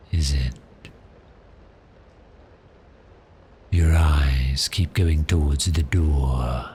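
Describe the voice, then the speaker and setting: A man performs a predatory vampire with an exceptionally low, breathy voice that often sinks into a half-whisper. He speaks extremely slowly, lingering on pauses, toying with his prey with gentle menace. It is an intimate ASMR roleplay, with a little noise behind the voice. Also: full character voice. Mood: quietly menacing.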